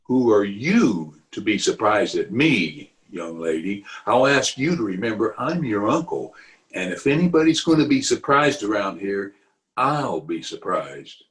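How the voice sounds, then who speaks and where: A man's voice: on the low side, sing-song, low-quality recording. A man playing a pompous, blustering middle-aged uncle in an old-time radio comedy, drawing his words out indignantly. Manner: pompous, indignant, drawn-out delivery. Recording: remote home recording over video call.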